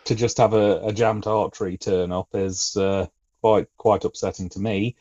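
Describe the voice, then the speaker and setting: A man chatting about a review in a casual, measured way, with wry understatement. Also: lowish voice, steady tone, mellow, dull audio.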